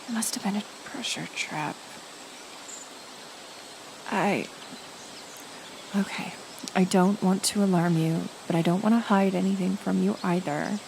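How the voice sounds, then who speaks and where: A woman playing a kind, stubborn healer who lives in the woods, speaking haltingly and earnestly to the listener in a roleplay audio drama. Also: fairly low pitch, vocal fry. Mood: worried, focused. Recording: outdoor nature ambience, insect noise. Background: forest ambience with insects.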